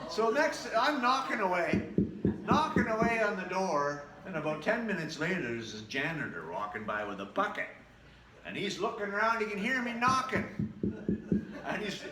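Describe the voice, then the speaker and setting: A man who entertains as a musician, talking in an animated, folksy storytelling way in a casual travel vlog. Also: sits high. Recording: roomy echo, prominent acoustic guitar music.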